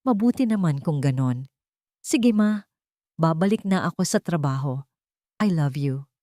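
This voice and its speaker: A woman acts out a grown son in a story, calling his mother. He is affectionate and lightly teasing, with pitch that jumps about. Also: expressive, measured pace, mellow, no room sound, clean studio sound. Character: caring, playful adult son on a phone call.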